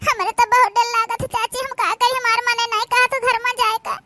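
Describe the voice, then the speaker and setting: An extremely high, piercingly bright cartoon teenage girl, acted by a woman, with an Awadhi accent. She is an aggrieved, distrustful village orphan with a whiny, complaining delivery. The recording is dry and close. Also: little pitch movement, fairly quick, no echo. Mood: tearful, frightened. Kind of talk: village cartoon comedy-drama acting.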